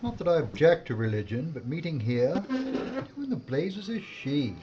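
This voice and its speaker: An actor playing an indignant, pompous senior police chief inspector in a period audio drama, with clipped, disapproving formal phrases. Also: male voice, fairly high pitch, big pitch swings, normal speed. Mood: affronted. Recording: muffled, noisy background.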